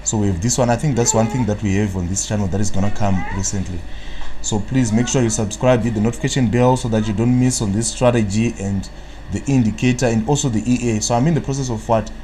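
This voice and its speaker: A Zimbabwean man with a low voice runs a casual live stream, rambling and trailing off into filler tags as he reads viewers' comments. His voice comes through a computer mic with a faint hiss underneath. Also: steady tone, quick pace, dark tone. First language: Shona. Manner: rambling, fills gaps with "what, what"; answers viewers' chat comments. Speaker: trading analyst. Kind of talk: live-stream market analysis.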